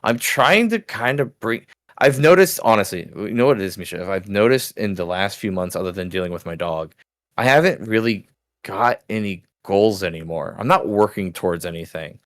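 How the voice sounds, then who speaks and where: Male co-host, 30s, bassy low voice, dry close sound, loose rambling delivery with swearing. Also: lively intonation, normal speed, fairly bright tone, dry recording. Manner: rambling, swears freely, laughs often. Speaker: podcast co-host. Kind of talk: casual chat.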